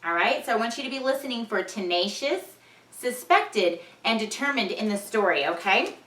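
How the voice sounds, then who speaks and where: A woman reads to children as their teacher in a bright, fairly high voice. She is deliberate and expressive, dwelling on key words. The recording sounds roomy and off-mic. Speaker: teacher reading aloud. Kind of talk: vocabulary lesson and storybook read-aloud for children. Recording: echoey room, distant microphone.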